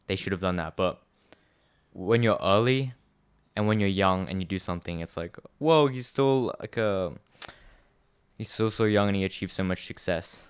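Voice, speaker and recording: A man's voice: low voice, normal speed, smooth. A young man co-hosting a podcast, chatting casually and reflectively, rambling with many fillers. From Australia. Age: young adult. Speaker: podcast co-host. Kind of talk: casual chat.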